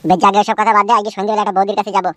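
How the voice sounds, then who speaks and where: A man's voice: sits high, level tone, racing, fairly bright tone, no room sound. A man voicing a scheming village gang boss in a cartoon comedy, boastful and conspiratorial. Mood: smug.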